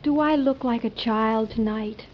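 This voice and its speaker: A woman's voice: fairly high pitch, a little slow, background noise, natural voice. An actress playing an eager, pleading sixteen-year-old daughter, earnest and girlish, in old-time radio drama style. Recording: dull lo-fi old radio recording.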